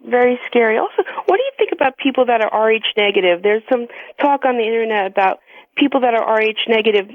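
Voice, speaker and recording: A woman's voice: on the high side, expressive, fast, no echo. An American woman calling in to a radio show, chatting casually and giggly. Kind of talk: casual chat. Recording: tinny phone line on radio.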